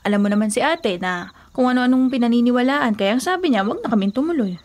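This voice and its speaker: An actress playing an exasperated, teasing younger sister in a Filipino radio drama, scoffing at old superstitions in a sassy, conversational way. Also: female voice, fairly high pitch, animated, quick pace, darkish tone, close to an everyday voice. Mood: matter-of-fact.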